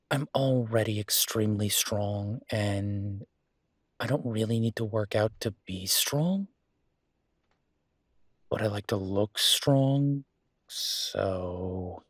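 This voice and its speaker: A man's voice: on the low side, no room sound, clean studio sound. A man playing a friendly, playfully vain werewolf boyfriend in a casual roleplay audio, talking in an easygoing, teasing, conversational way. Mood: sheepish, playful.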